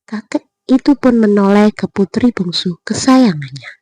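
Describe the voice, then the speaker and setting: A woman storyteller narrating a bedtime fairy tale with expressive, deliberate phrasing. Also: mid-range voice, no echo.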